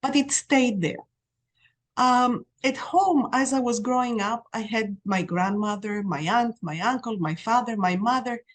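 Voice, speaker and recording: A woman, a podcast guest telling her life story in a reflective way, with pauses and restarts. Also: fairly high pitch. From Greece. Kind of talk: personal storytelling.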